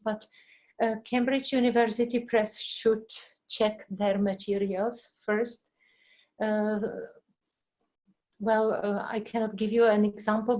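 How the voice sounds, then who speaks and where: A middle-aged woman with a Czech accent, a native Czech speaker, teaching in an informal webinar with halting, searching delivery. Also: a little high, darkish tone, low-quality recording. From Czech Republic. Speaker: language teacher giving a webinar. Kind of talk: informal lecture with explanations and answers.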